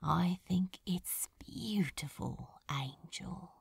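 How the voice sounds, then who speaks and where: A woman's voice: fairly low pitch, very slow, gravelly, slightly rough, dry recording, clean studio sound, voice pushed down, full character voice. A woman voicing a male demon lover with a casual, hissing murmur in an audiobook. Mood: fond. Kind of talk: audiobook character acting.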